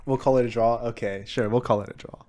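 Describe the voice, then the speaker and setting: A young man hosts a relaxed podcast conversation in a mid-pitched voice. He sounds upbeat and laughs easily, with lots of filler words. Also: fast talker. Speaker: podcast host. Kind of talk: casual chat.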